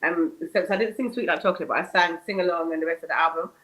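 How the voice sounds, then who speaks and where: Fast-talking raspy woman. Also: lowish voice, a bit bright, rough recording. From United Kingdom. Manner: fast, chatty storytelling, laughing at herself. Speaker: guest singer. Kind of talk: casual podcast interview.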